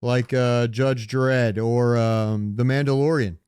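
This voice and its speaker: A man's voice: medium pitch, steady tone, slow pace, a little mellow, smooth, no room sound, clean studio sound. A man co-hosting a podcast, chatting casually and informally, with swearing thrown in. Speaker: podcast co-host. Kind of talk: casual banter about films and pop culture.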